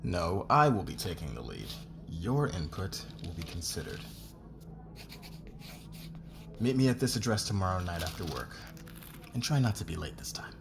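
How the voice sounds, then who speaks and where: A man's voice: deep voice, big pitch swings, gravelly, over music, exaggerated voice. A man playing an arrogant, demanding company boss who talks down to people in an ASMR roleplay. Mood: cold, commanding. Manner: condescending, commanding, deliberate.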